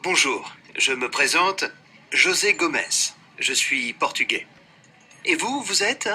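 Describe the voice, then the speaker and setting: A man's voice: a little high, expressive, slow pace, bright, forceful tone, slightly rough, full character voice. A man voicing an adult man who introduces himself politely in a scripted textbook dialogue.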